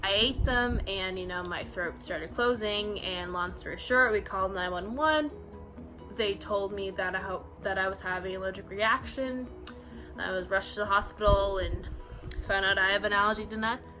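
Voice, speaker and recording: A young woman in her early twenties chats casually to her camera, full of ums and "you know"s. Her voice is bright and middle-pitched, and a soft bed of music plays quietly underneath. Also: moderate pace. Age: 20s. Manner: chatty, frequent fillers like um and you know. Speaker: vlogger. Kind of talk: casual facts-about-me vlog.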